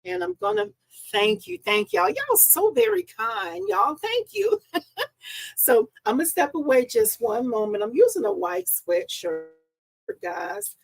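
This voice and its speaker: A woman hosting a live crafting stream from her home craft room, chatty and upbeat, slightly hoarse because she is losing her voice. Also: high-pitched, very animated, measured pace, no room sound, poor audio. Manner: chatty and upbeat, greets viewers by name. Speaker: host and embroidery business owner. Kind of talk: casual live-stream chat. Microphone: live-stream setup.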